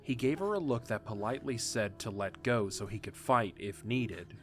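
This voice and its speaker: A man narrating a fan audio drama with steady, measured reading. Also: fairly low pitch, faint music behind. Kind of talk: scripted story narration.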